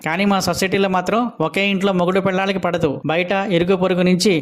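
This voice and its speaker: Cartoon layabout drunk played by a man: high-pitched, rapid, cheeky and boastful, slangy complaints. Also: flat delivery, close to an everyday voice. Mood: grumbling. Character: cheeky good-for-nothing Telugu drunkard, boastful and grumbling. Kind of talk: comic cartoon dialogue.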